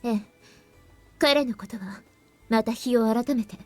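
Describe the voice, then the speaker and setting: A woman's voice: on the high side, normal speed, darkish tone, close to an everyday voice. A woman playing a composed, sardonic young woman in a visual novel, with a cool, taunting and theatrical delivery. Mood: calm, resolved. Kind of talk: Japanese game voice acting. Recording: game audio with music behind.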